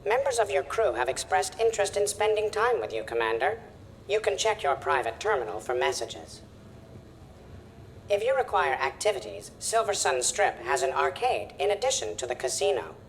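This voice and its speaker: A woman's voice: medium pitch, sing-song, moderate pace, a little hiss or hum. A woman playing a polite, composed ship's artificial intelligence in a game, speaking formally and precisely.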